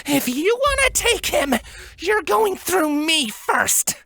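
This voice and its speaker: Casting: male reader as fierce mother pony princess, very high voice, furious, taunting, defiant, storybook fantasy. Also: very animated, slow, creaky, no echo, studio quality, exaggerated voice. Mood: furious, protective. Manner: shouted, taunting threats.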